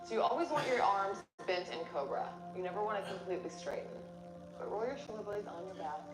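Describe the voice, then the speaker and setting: A woman yoga instructor guiding a class step by step with gentle encouragement. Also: mid-range voice, lively intonation, quick pace. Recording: roomy echo with music behind. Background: background music.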